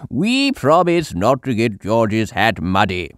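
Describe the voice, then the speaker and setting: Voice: mid-range voice, wildly swooping pitch, slow pace, dry recording, clean studio sound. A storyteller voicing a well-meaning, confident old grandfather pig in a children's storybook. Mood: kindly, jovial. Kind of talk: children's storybook read aloud.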